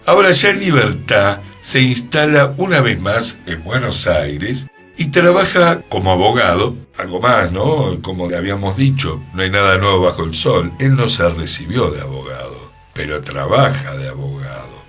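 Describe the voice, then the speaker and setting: A man's voice: sits low, sing-song, normal speed, a bit bright, soft background music. A man with a Rioplatense Argentine accent hosting a conversational radio history column, explaining didactically with wry asides. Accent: Rioplatense Argentine Spanish. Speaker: radio show host and columnist.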